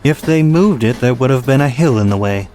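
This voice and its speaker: A man playing a gruff, fatalistic steam train engineer in an audio drama, speaking in a terse, blunt way. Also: mid-range voice, expressive, brisk, mellow, lightly acted. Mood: pensive, wary. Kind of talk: amateur audio drama acting.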